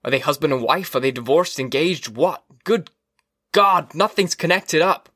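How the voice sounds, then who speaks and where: A man's voice: mid-range voice, lively intonation, normal speed, a bit bright, no echo, clean studio sound. A man playing a troubled, exasperated husband from a dystopian novel, asking urgent, probing questions.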